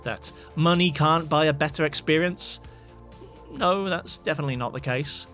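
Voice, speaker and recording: A man's voice: fairly high pitch, normal speed, sharp tone, soft background music. A man giving scripted voice-over narration as a tech video host, with dry, wry asides.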